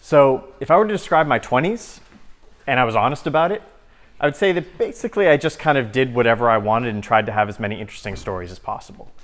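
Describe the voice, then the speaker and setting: A man in his thirties giving a casual, earnest talk at a live event, talking conversationally and leaning on "like" and "you know" while alternating between emphatic and quiet moments. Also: medium pitch, fast. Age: 30s.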